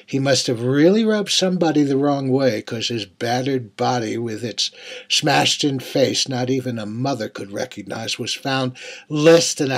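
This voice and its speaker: Man to play male serial killer, dramatic monologue. Mid-pitched, rough-breathy voice, wistful menace, halting and confiding. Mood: grim, confiding. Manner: intimate, halting, dropping to near-whispers. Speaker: writer reading own monologue.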